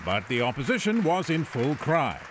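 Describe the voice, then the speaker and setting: A man's voice: on the high side, very animated, normal speed, a loud crowd, heavy background noise. A man delivering a scripted news report as a political correspondent.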